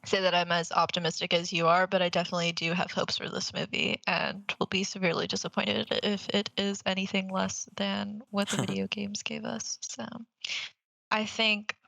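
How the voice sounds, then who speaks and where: A woman's voice: medium pitch, moderate pace, vocal fry, a bit bright, dry recording, rough recording. A woman co-hosting a podcast in casual conversation, emphatic, repeating words for stress and swearing casually. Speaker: podcast co-host.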